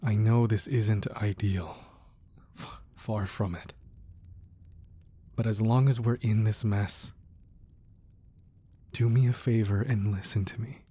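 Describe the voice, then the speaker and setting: A man playing a protective, romantic crime boss husband in an intimate ASMR roleplay, soft and hushed, tender with an edge of menace. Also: on the low side, fairly even intonation, husky. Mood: soft, pleading. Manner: slow, deliberate, tender murmuring with pauses.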